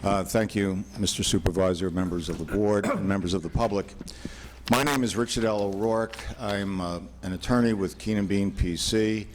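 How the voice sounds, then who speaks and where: An older man speaks as an attorney before a town board, his fairly low voice carrying loudly into a podium microphone. He delivers his case in a measured, formal way, pausing on frequent fillers. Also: steady tone, a little hiss or hum. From New York, USA. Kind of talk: formal public presentation. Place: town hall meeting room.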